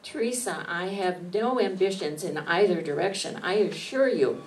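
A woman's voice: fairly low pitch, expressive, normal speed, music in the background, lightly acted. A woman playing a polite, reserved but firm young secretary in an amateur radio play. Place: meeting room stage before an audience. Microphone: stand microphone. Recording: distant mic, noisy room.

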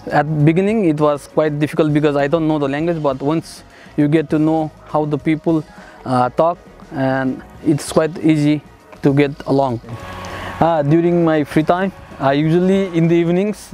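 A young man from Nepal with a slightly higher voice talks through his student life in a relaxed, halting way, leaning on lots of "uh"s. He is recorded close on a lapel mic while light music plays underneath. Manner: hesitant, many "uh" fillers, soft chuckles. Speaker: master's student. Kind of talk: casual testimonial interview. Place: outdoors on a city riverside path and in a bright building.

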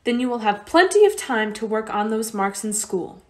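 A woman's voice: on the high side, very animated, moderate pace, a little mellow. A woman playing a stern, brusque, no-nonsense mother in a radio play. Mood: brisk, practical. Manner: brusque, demanding. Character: stern, no-nonsense refugee mother, brisk and practical.

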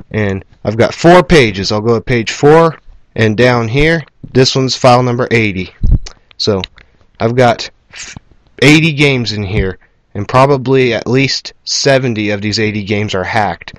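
A man's voice: medium pitch, a little slow. A man rambling casually and bluntly, with some swearing, as he hosts a homemade game hardware demo. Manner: rambling, blunt, foul-mouthed. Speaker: video host. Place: cluttered room at home. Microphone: camera microphone at varying distance. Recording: noisy home camera recording with clicks.

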